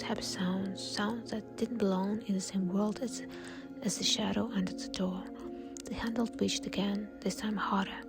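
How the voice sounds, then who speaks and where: Whispering woman telling a horror story. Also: mid-range voice. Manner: breathy, unvoiced whisper throughout. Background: soft music.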